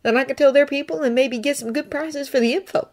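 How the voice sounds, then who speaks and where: Woman doing a higher earnest jedi guy, kinda tired, pleading. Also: quick pace, a little mellow. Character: undercover male Jedi, earnest and weary. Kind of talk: fan fiction audiobook reading.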